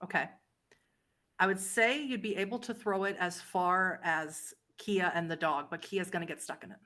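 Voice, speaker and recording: Woman game master narrating, slightly low voice. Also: moderate pace, pushed and bright. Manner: explains rules and describes scenes to players. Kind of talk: narrating a tabletop roleplaying game.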